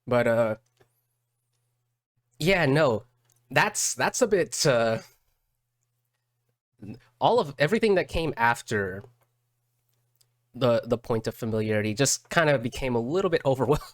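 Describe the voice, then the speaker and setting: A man doing casual live reaction commentary as a streamer, halting and repeating words as he thinks aloud. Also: mid-range voice, animated, no echo, clean studio sound. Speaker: streamer reacting to game videos.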